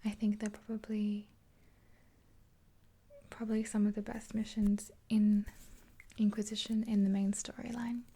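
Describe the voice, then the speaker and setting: A woman in her twenties whispering a gentle ASMR roleplay in a breathy, unhurried, hesitant voice, close to the mic. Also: mid-range voice, little pitch movement. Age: 20s. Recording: hissy close recording with clicks.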